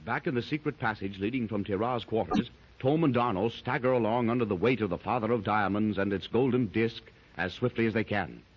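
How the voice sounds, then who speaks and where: A man's voice: on the low side, fairly quick, few pauses. A man narrating a 1930s radio adventure serial in a dramatic style that rises with the action. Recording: dull lo-fi 1930s radio recording.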